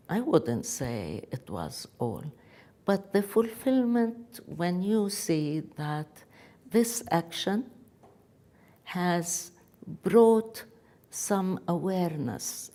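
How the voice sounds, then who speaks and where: An older woman with a Palestinian Arabic accent, giving personal testimony in an earnest, emphatic and halting way. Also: low voice, gravelly, mellow. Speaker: activist speaker.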